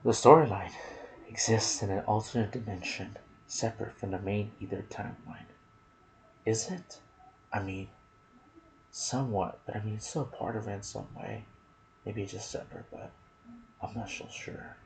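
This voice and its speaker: A man narrating game lore in ASMR style, soft and breathy, often slipping toward a whisper, musing aloud in casual asides. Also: fairly low pitch, moderate pace, pauses often, a little hiss or hum, low-quality recording. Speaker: gaming video narrator.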